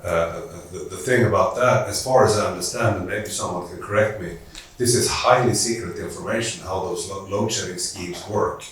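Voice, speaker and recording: A man speaking on a conference panel, thoughtful and halting, with restarts as he works through his points. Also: low voice, fairly bright tone. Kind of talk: panel discussion. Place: conference hall. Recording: echoey hall, distant mic.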